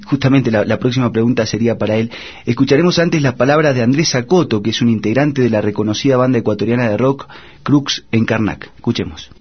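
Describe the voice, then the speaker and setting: A man's voice: mid-range voice, slightly rough. A man moderating a radio forum, introducing speakers in a formal, announcing way. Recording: muffled radio audio.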